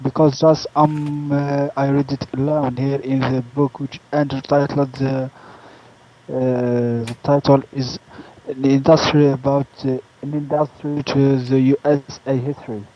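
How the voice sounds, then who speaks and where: A man's voice: mid-range voice, level tone, unhurried, dull audio, a little hiss or hum. A man calling in as a caller, speaking hesitantly and searching for his words. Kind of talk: casual chat.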